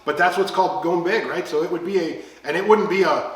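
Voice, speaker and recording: Chatty American man in an echoey room. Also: high voice. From United States. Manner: chatty, rambling, quick to laugh along. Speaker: podcast host. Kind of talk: casual podcast chat. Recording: echoey room, distant mic.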